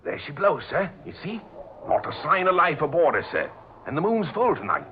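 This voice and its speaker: An actor playing an earnest, excitable sailor, respectful and hesitant with folksy seaman's talk, in an old-time radio drama. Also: fairly high pitch, moderate pace. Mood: startled. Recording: dull old radio recording with hiss.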